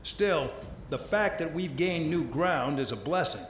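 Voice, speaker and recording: An actor playing a stern, menacing air force commander of a penal base in a video game, curt and commanding. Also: male voice, on the high side, animated, measured pace. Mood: stern, formal. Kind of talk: video game cutscene acting. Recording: roomy echo with some noise.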